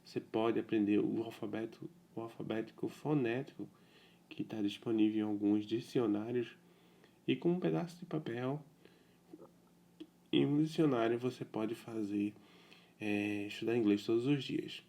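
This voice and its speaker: Male tutor, dark gravelly tone, easygoing explainer who keeps checking in. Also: on the low side, moderate pace. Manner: relaxed explaining, checks in with "né?" and "tá certo?". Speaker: self-taught English tutor. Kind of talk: casual video lesson.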